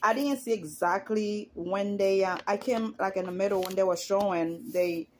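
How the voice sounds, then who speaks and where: A woman from Haiti, giving her opinions on reality TV in a casual, chatty vlog and climbing in pitch when she gets indignant. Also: normal speed, darkish tone, a little hiss or hum. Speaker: YouTuber commenting on reality TV.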